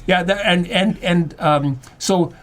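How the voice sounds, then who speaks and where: An older man with an average-pitched voice talks through his ideas as an interview guest. He starts and restarts phrases, doubling words as he explains, in an unscripted, teacherly conversational way. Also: fairly bright tone. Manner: halting, repeats words, explanatory. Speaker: guest playwright and acting teacher.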